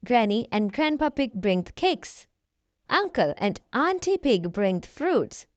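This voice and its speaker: A woman narrates a picture book for small children in a high voice. Her delivery is expressive and swings widely in pitch, speaking straight to her young audience. The sound is dry, with very little room around it. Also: a little slow, dry recording, lo-fi sound. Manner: addresses young children directly, expressive storytelling. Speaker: children's storybook reader, channel host. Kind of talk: read-aloud children's story narration.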